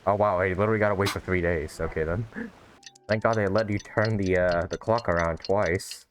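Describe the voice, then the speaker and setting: A man's voice: low-pitched, fairly even intonation, fairly quick, slight background noise. A man giving casual, laid-back gameplay commentary with chuckling asides. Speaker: gaming video host.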